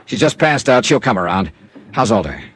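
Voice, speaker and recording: An American actor playing a brisk, wry, hard-boiled male insurance investigator in a 1950s radio drama. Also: lowish voice, big pitch swings, a bit bright. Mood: wry, terse. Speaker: lead actor of a radio detective series. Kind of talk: 1950s radio drama acting and first-person narration. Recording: vintage radio recording, thin telephone-like band.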